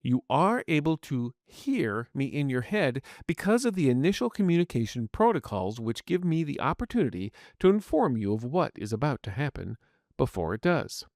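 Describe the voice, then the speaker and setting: A man's voice: mid-range voice, big pitch swings, moderate pace, darkish tone, dry recording, clean studio sound. A man voicing a polite, earnest artificial intelligence medical assistant in an audio story, speaking formally and courteously. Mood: reassuring. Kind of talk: scripted audiobook narration in character.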